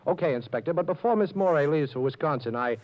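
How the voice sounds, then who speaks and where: Lively, cocky radio detective played by a man. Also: a little high, fast, lightly acted. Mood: cocky teasing. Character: cocky, flirtatious wisecracking detective hero. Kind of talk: 1940s radio drama acting. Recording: dull old radio recording.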